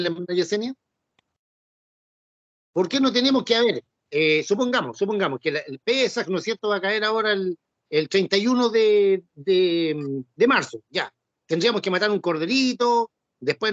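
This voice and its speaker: A man's voice: sits high, a bit bright, no room sound. A man teaching a Bible class, talking conversationally and often asking rhetorical questions. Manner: conversational, repeats himself and asks rhetorical questions. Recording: dull, dry lo-fi recording.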